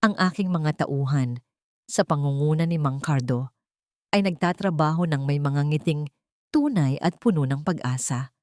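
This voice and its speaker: A woman narrating a scripted first-person dramatic story in a solemn, deliberate, sentimental way. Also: low voice, warm tone, no echo, clean studio sound.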